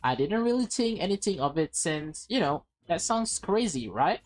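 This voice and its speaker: A woman's voice: on the low side, sing-song, a little mellow. Woman voicing nervous, apologetic young man. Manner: halting, apologetic, earnest explaining. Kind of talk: visual novel read aloud in character. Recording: game music behind the voice.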